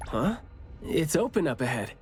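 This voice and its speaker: A woman's voice: low-pitched, very animated, moderate pace, a little mellow, soft background music. A woman voicing a wry, easygoing young investigator with casual, teasing delivery for a video game. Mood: wry, alert.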